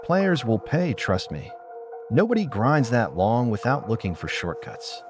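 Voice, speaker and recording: A smooth, businesslike game executive with profit on his mind, acted by a male narrator. He is matter-of-fact, at an average male pitch, in an audiobook with music clearly behind. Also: sing-song, measured pace. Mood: smug, confident.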